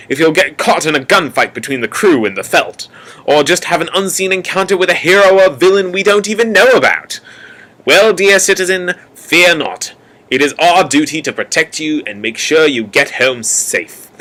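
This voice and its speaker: A man's voice: on the high side, pushed and bright, rough recording. Young man narrating with mock-ominous theatrics. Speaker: narrator of a college radio play. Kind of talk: dramatic scripted narration addressed to the listener.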